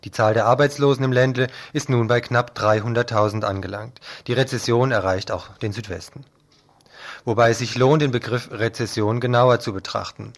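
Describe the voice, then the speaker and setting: A man's voice: mid-range voice, steady tone, moderate pace. A man presenting scripted radio news commentary in a pointed, critical manner.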